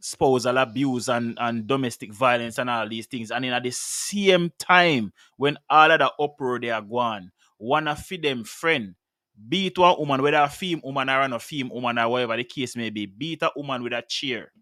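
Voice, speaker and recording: A man's voice: mid-range voice, moderate pace, pushed and bright, no room sound, studio quality. Fired-up Jamaican man on a podcast mic. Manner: passionate, many repeated words. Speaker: talk show host. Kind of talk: heated casual discussion. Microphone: studio mic with headphones.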